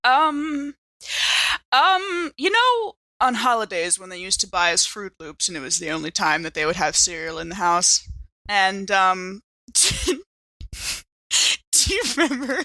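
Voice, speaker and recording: A woman's voice: medium pitch, expressive, measured pace, bright, forceful tone, no echo, rough recording. A woman playing a frazzled, giggly, sardonic heroine. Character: frazzled, giggly, hard-drinking woman in a horror drama.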